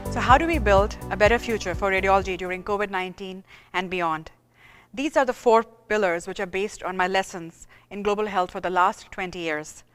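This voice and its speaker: A woman's voice: medium pitch, smooth, faint music behind. A woman from India, giving a formal, earnest lecture as a radiologist. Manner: earnest and measured. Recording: reverberant hall.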